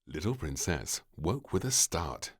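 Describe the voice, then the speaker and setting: A man narrating a children's storybook in an expressive, theatrical and measured way. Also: low voice.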